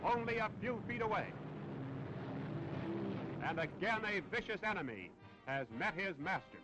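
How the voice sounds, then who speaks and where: High-pitched American man, 1940s newsreel narrator. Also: animated, measured pace, rough recording. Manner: dramatic, emphatic, declamatory patriotic delivery. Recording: vintage 1940s newsreel soundtrack, loud music. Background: loud music.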